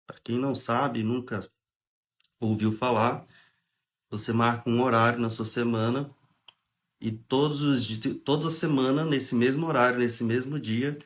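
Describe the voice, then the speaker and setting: Brief: young Brazilian man with a head cold, mid-pitched, casual livestream host who reads aloud and explains. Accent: Brazilian Portuguese. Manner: relaxed, chatty, interrupts reading to explain. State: has a cold, clearing throat. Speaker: host of a spiritist study livestream. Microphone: phone or webcam on a livestream.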